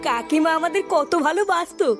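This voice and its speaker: An excitable cartoon boy with an extremely high, creaky voice. He is cheeky and whiny, exclaiming his way through comic dialogue over faint music. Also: fairly quick. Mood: worried. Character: excitable cartoon son, easily startled, cheeky.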